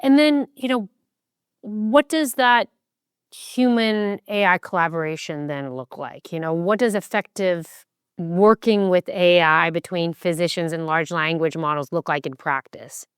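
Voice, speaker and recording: A woman's voice: fairly low pitch, measured pace, clear, resonant tone, no room sound, clean studio sound. A woman hosting a podcast interview, putting questions to her guests.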